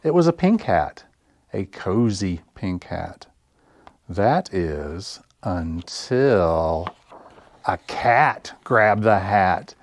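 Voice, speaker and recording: A man with a fairly low voice hosts a story time for small children. He reads very slowly, with deliberate phrasing made for young listeners. Music plays behind his voice. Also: big pitch swings, no echo, clean studio sound. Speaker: library story time host. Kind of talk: children's read-aloud and sing-along story time.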